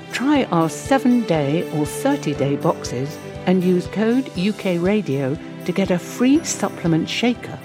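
Ad read, woman, slightly low pitch, upbeat persuasive, music bed. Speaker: advert voice-over. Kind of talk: scripted radio advert.

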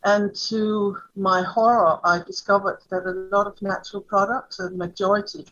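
Middle-aged Danish woman guest, halting, dull lo-fi sound. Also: medium pitch, level tone, a bit bright. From Denmark. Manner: halting, with fillers and repeats. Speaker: guest, brand founder. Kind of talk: interview answers.

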